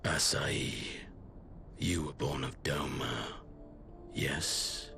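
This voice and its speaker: A commanding, calculating lord of an empire for a fantasy game. His voice is extremely low and gravelly, with formal, unhurried diction. Prominent music plays behind him. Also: steady tone, heavily acted. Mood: cold, commanding. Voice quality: very deep, gravelly, breathy at phrase ends. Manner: measured, imperious, formal diction. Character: cold, commanding, calculating imperial lord, grown man. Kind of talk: dramatic video game cutscene acting. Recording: loud music under the voice.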